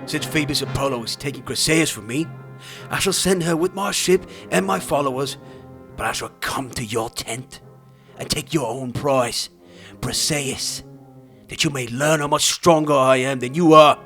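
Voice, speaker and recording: A man playing a haughty, domineering Greek king in a dramatized audiobook, declaiming imperiously and forcefully over music. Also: medium pitch, moderate pace, close to an everyday voice. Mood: furious, threatening. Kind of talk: dramatized audiobook acting of epic verse.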